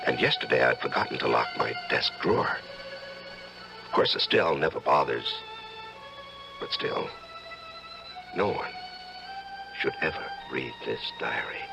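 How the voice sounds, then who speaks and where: An actor playing a composed, calculating murderous judge in old-time radio drama style, theatrical and authoritative. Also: male voice, rumbling low, lively intonation, a little slow, gravelly, pauses often, music in the background, full character voice, low-quality recording. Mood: secretive, brooding. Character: secretly murderous male judge, composed, calculating, self-satisfied.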